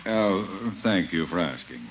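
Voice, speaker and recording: An actor playing a haughty, demanding middle-aged gentleman in a 1950s radio sitcom, pompous and sarcastic. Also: low voice, lively intonation, measured pace. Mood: dry sarcasm. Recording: muffled old radio recording, faint noise.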